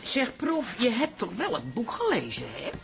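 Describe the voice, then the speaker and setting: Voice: medium pitch, wildly swooping pitch, normal speed, creaky, over music. A fussy, eager enthusiast in a radio play. The voice swoops widely and keeps repeating little phrases of agreement. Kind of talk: radio drama acting.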